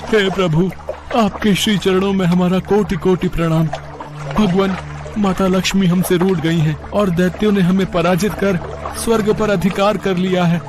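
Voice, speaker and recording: Man voicing a high-pitched, beseeching king of gods. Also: steady tone, normal speed, natural voice. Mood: humble pleading. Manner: reverent, beseeching. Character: distressed, humble male king of the gods. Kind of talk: animated mythological story dialogue.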